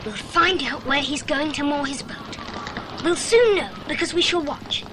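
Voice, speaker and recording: Very high-pitched, bold British boy. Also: animated, normal speed, noisy background. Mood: scheming. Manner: urgent, decisive. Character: bold, quick-thinking, wary British boy adventurer. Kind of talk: audiobook dramatisation for children.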